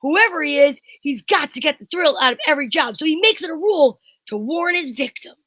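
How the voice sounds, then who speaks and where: A woman playing a flustered, excitable male police inspector in an old-time radio style drama, melodramatic and exclamatory. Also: high-pitched, brisk, no echo. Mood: alarmed, explaining.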